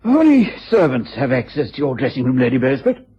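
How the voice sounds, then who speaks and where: An actor playing a dutiful, measured police inspector with an English accent in a radio drama. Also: male voice, mid-range voice, big pitch swings. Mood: polite, probing. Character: dutiful, methodical male police inspector, politely deferential. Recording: muffled, rough old radio recording.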